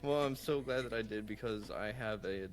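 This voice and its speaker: A man's voice: on the low side, normal speed. A man hosting a mental health podcast, introducing his guest and backing her up with frequent "mm-hmm"s. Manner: friendly, lots of "mm-hmm" backchannels. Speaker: podcast host.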